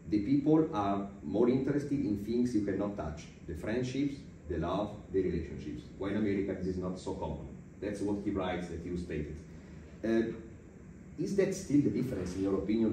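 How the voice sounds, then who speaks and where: A man's voice: on the low side, warm tone. A man hosting a podcast interview, asking questions in formal phrasing broken by many hesitations. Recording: roomy echo, distant mic, faint noise.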